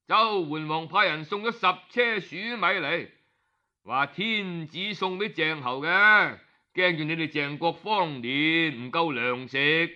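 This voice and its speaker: A dull, lo-fi recording of a man telling old historical tales in a Cantonese accent. His voice sits fairly high and is strikingly bright and sharp. He narrates colloquially, like an oral storyteller, sprinkling in exclamations. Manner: colloquial, conversational, with exclamatory particles. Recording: dull, lo-fi audio.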